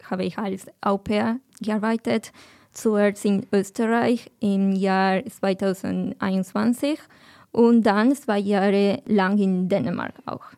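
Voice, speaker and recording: Slow-speaking Argentine woman guest, hesitant German. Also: mid-range voice, creaky, darkish tone, dry recording, clean studio sound. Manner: hesitant non-native German, slips into English words. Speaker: guest, former au pair.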